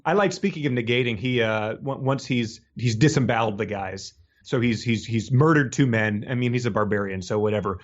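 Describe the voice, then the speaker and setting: Male podcast co-host, mid-pitched, casual and wry, halting delivery with mid-thought rephrasing. Muffled, lo-fi sound. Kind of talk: casual chat, comic book discussion.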